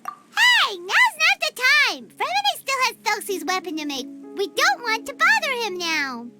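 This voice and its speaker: A woman voicing a tiny, excitable childlike fairy companion in a video game, squeaky and speaking of herself in the third person. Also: measured pace, exaggerated voice. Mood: excited, bossy. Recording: music behind the voice.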